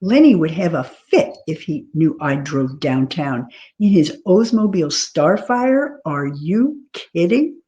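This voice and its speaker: A woman's voice: deep voice, big pitch swings, unhurried, dark tone, lo-fi sound. A woman voicing a cautious, exasperated sister who worries and scolds, in a storybook reading. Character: anxious, cautious, exasperated sister, a careful driver. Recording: clear background noise.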